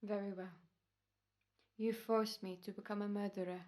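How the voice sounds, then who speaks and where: A woman's voice: mid-range voice, little pitch movement, normal speed, darkish tone, lightly acted. A woman playing a formal, courteous and menacing doctor in an old-time radio drama. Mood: cold threatening. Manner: courteous, precise, ominous. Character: smooth, menacing, formal doctor turned murderer in radio rehearsal.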